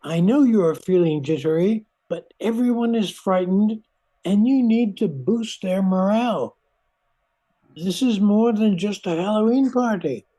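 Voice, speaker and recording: An older woman playing a steady retired scientist in an amateur radio play, measured and reassuring. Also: medium pitch, close to an everyday voice, low-quality recording. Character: retired scientist, steady, civic-minded candy maker. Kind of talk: amateur old-time radio play acting.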